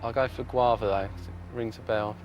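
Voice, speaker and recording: A man's voice: mid-range voice, steady tone, fairly quick, a little mellow, a little hiss or hum. A man in his early twenties on a TV quiz, thinking aloud and hedging before he picks an answer. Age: 20s. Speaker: quiz contestant. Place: TV studio.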